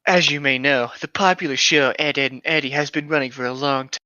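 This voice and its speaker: Bright-voiced young man, slightly lo-fi. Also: medium pitch, steady tone, a little fast, no echo. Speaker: podcast co-host. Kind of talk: casual joking chat with bits of reading aloud.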